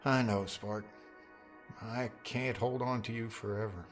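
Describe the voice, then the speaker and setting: A man's voice: on the low side, steady tone, measured pace, close to an everyday voice. A man playing a tender, sentimental middle-aged father in an audiobook, with music underneath. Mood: wistful, tender. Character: tender, wistful father of a graduating daughter. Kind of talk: audiobook character dialogue.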